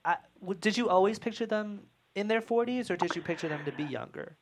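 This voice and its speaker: A woman's voice: medium pitch, expressive, fairly quick, slight background noise. A woman casually putting questions and feedback to others in a group discussion. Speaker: table-read participant asking questions.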